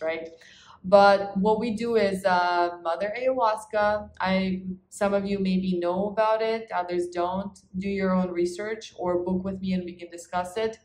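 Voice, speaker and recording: A woman's voice: lowish voice, darkish tone. A woman, talking earnestly and emphatically to camera as a relationship coach. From Ukraine. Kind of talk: talk to camera introducing herself to clients. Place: medium-sized living room indoors. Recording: echoey reverberant living room.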